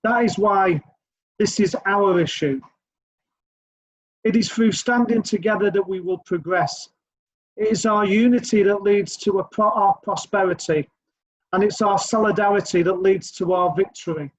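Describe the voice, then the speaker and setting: A man's voice: high voice, moderate pace, rough recording. A man giving an earnest, rallying speech as a trade union speaker over a video call, with frequent hesitations. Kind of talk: prepared speech at an online event.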